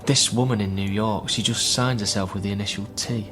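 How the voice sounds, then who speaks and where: A man acting a troubled son in a British radio drama. His voice is on the low side, earnest and a little hesitant, with a guarded temper. Faint music sits underneath. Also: steady tone, fairly quick, close to an everyday voice. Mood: worried. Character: worried, guarded divorced son caring for troubled father.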